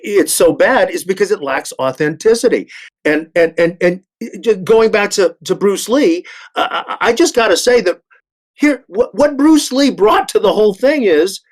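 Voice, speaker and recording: A man speaking as a panelist in a casual discussion, emphatic, repeating phrases to drive his point home. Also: sits high.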